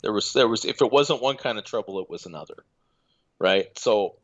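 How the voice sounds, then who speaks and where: A man calling in as a guest, talking in a measured, hesitant way with many fillers. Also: on the low side, expressive, dull audio. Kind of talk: casual remote call-in chat.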